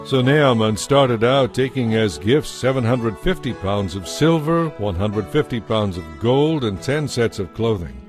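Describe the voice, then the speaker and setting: A man's voice: mid-range voice, expressive, measured pace, few pauses, over music. A man narrating a scripted, dramatized Bible story as its storyteller.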